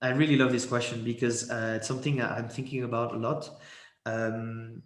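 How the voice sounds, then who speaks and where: A man's voice: mid-range voice, little pitch movement, brisk. A young man, a guest in a casual interview, talking in a rambling way with many restarts and fillers. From Switzerland. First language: French. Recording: echoey room.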